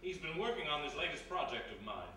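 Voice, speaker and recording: A man playing a courteous, wealthy, worried father with a formal, measured manner in a live radio play. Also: a little high, big pitch swings, bright, forceful tone. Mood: troubled. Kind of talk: live old-time radio play acting. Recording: echoey live hall, distant mic, faint noise.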